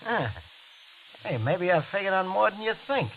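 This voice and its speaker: An American actor playing an aggrieved, quietly menacing husband in a 1940s radio drama, brooding and sly. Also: male voice, high voice, sing-song, normal speed, full character voice. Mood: quietly sinister. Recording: dull, noisy old radio recording.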